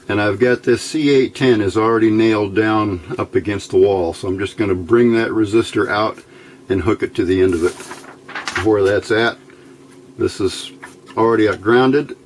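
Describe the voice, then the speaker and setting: A man's voice: fairly low pitch, poor audio. A man giving a casual step-by-step demonstration as a hobbyist instructor, folksy and muttering to himself as he works. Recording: clear background noise.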